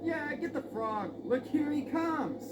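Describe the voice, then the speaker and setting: Woman doing an outspoken storybook onlooker, high voice, exclaiming, scornful, distant, very noisy old record. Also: animated, slow, reverberant. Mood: watchful. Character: outspoken, easily scandalised contest onlooker.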